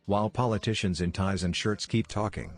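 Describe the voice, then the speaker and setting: A man's voice: lowish voice, fairly even intonation, a little fast, faint music behind. A man reading scripted political commentary as a voice-over narrator.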